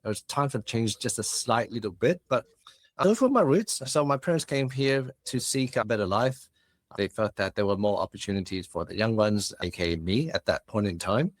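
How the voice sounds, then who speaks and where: Low-voiced man with a mixed Australian-British-Cantonese accent. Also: dry recording. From Hong Kong. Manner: chatty, expansive, friendly. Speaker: guest, business founder. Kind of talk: casual interview chat.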